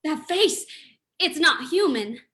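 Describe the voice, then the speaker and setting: Woman doing detective's lady sidekick in old radio mystery, high voice, earnest and theatrical. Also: expressive, slow pace, sharp tone, full character voice. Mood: alarmed. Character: alert young lady, detective's loyal companion. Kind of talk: old-time radio drama acting.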